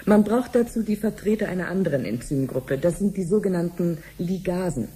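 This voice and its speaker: An actress playing a friendly, patient cancer researcher in a radio drama, explaining things clearly like a teacher. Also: female voice, on the low side, expressive, brisk, mellow, a little hiss or hum, natural voice. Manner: didactic, clearly phrased explaining. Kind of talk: 1970s German radio drama acting.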